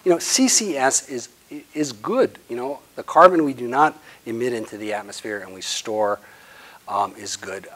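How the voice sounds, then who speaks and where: A man in his sixties, the guest speaker, answering audience questions after a lecture in a conference room, pushing hard on key words and letting phrase ends fade quietly. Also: mid-range voice, normal speed. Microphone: lapel.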